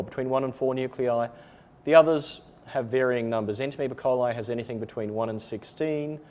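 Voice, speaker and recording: Australian male presenter, mid-pitched, measured, patient explainer for a lab webinar. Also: darkish tone. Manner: explanatory, measured, with occasional ums. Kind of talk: webinar lecture.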